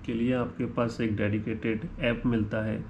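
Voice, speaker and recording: An Indian-accented man talks through a product comparison in an unscripted, explanatory way. He mixes Hindi and English within sentences at an ordinary middle pitch. The recording is clearly echoey, with faint noise behind him. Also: flat delivery, moderate pace. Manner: switches between Hindi and English mid-sentence; ends points with a checking "right?". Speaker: motorcycle gear reviewer.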